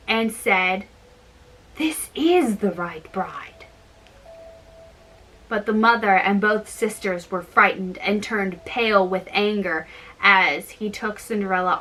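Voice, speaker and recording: A woman storyteller reading fairytales aloud in a halting, deliberate way. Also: medium pitch, bright, forceful tone, poor audio.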